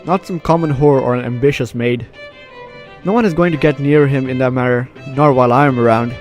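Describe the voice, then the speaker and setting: A man's voice: medium pitch, brisk. A man hosting a casual let's play, chatting and reading a story game's text aloud. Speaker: gaming video host. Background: game music.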